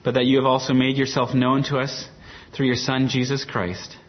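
Pastor, middle-pitched man, calm measured prayer, dull sound with faint hiss. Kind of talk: introduction and prayer.

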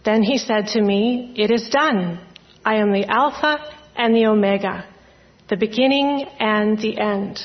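Solemn woman reading scripture slowly. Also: mid-range voice, dull audio. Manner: measured, solemn. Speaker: scripture reader.

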